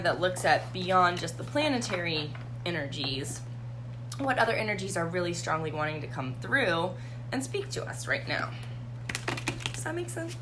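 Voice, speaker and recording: A slightly hoarse woman hosting a live tarot reading and chatting casually with her viewers. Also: mid-range voice, noisy background. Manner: chatty, warm, greets viewers by name.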